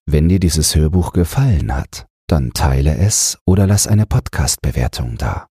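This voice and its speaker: Deep, very husky male storyteller. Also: animated, moderate pace, dark tone, no echo, clean studio sound. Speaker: narrator, podcast host. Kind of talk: scripted fairy-tale narration.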